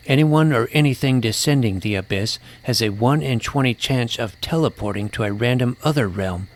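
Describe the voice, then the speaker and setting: A man narrating a first-person scripted audio drama as its young protagonist, earnest and precise. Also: mid-range voice.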